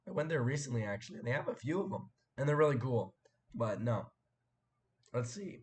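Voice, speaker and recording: A young man hosting a casual live stream, his voice hoarse and cracking after a sore throat, rambling and clearing his throat as he chats with viewers. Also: medium pitch, lively intonation, brisk. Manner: rambling, chatty, frequent fillers and throat clearing.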